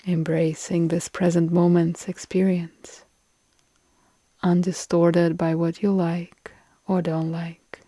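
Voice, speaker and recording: A woman guiding a relaxation meditation in a calm, soothing, deliberate way with long pauses, her soft phrases trailing into breath. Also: fairly low pitch, no echo. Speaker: yoga nidra meditation guide.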